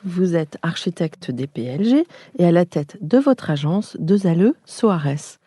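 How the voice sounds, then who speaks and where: A woman hosts an architecture interview in a very dark, mellow voice. She reads her introduction in a formal, measured way before turning to her guests. The close mic gives a dry sound. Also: fairly low pitch, expressive, husky, dry recording. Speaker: podcast host, interviewer. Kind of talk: scripted introduction and interview questions.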